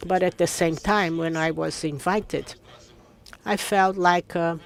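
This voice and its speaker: A man giving a simultaneous interpretation of a conference talk, in a steady, measured way. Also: fairly high pitch, gravelly.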